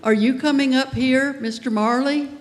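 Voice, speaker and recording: A woman giving an informal, chatty and halting speech to an audience as an event organizer, talking into a stage microphone. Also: high voice, steady tone. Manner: chatty, halting, addressing the room. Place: large echoey hall with an audience. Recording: echoey hall, audience noise, faint hiss.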